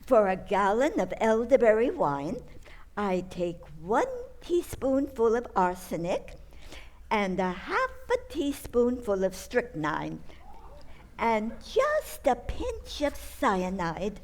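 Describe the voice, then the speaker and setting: A woman's voice: medium pitch, sing-song, slow. A woman playing a kindly, dotty old aunt in an old-time radio comedy, cosy, innocent and fussily precise.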